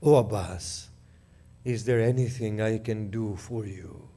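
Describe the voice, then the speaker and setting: A man's voice: fairly low pitch, steady tone, measured pace, mellow, pitched below the performer's own voice, close to an everyday voice. A man playing a grieving noble warrior, with anguished, pleading cries, as part of a spoken mourning narration. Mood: hushed grief. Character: grieving noble male warrior, elder brother.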